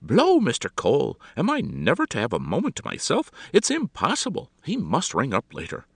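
Storybook society hostess, acted by a man, high-pitched, theatrical, imperious, impatient, gushing, very dry close sound. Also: wildly swooping pitch, normal speed, gravelly, husky, no echo, heavily acted. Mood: haughty, irritated.

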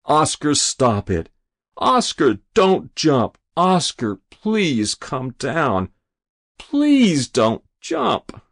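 A man's voice: fairly high pitch, wildly swooping pitch, drawn-out words, a bit bright, pitched above the performer's own voice, exaggerated voice. A man voicing a desperate adult rabbi who pleads and implores, in an audiobook story. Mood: pleading, desperate.

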